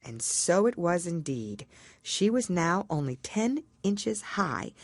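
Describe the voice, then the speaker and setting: A woman reading a storybook aloud as scripted narration, in an expressive, measured storytelling manner. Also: on the low side, no room sound. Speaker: audiobook reader.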